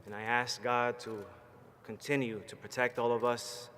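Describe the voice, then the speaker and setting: A man's voice: mid-range voice, level tone, normal speed, fairly bright tone. A man, a Marine veteran giving a rousing commencement speech from a stage. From Puerto Rico. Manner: fired-up, rousing, plainspoken and personal. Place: large ceremony hall, from a stage. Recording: long hall reverb, faint background noise.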